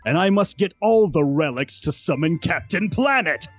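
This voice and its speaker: A man's voice: on the high side, animated, moderate pace. A man voicing a grumpy, sulky young science-witchcraft nerd in a comedic fan fiction roleplay, drawling his lines reluctantly. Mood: gloomy, melodramatic.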